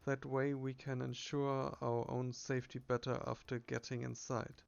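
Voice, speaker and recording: A man voicing a calm, rational consultant investigator in a game, formal and measured. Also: medium pitch, gravelly, smooth, dull audio, natural voice.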